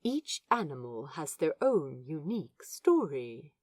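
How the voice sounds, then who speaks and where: A woman plays a kindly, knowledgeable man with a tender, reverent manner. His speech is slow and very melodic, explaining things softly as in an audio drama. The sound is dry, with little room on it. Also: sits low, big pitch swings, dry recording, heavily acted. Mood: wistful. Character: gentle, learned man fond of sea animals. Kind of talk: fan fiction audio drama dialogue.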